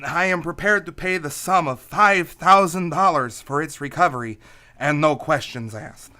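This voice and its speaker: An actor playing a small, courteous but dangerous Greek schemer in an old-time radio play, speaking in formal, hesitant, precise phrases. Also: male voice, fairly high pitch, normal speed, bright, forceful tone.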